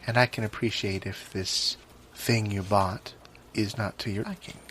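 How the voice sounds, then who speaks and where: Improv comedy: man as condescending store manager, low, measured, hesitant, placating. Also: fairly even intonation, fairly bright tone, natural voice. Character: patronizing, placating male store manager, decorous. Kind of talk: improvised audio comedy sketch. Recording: clear background ambience noise.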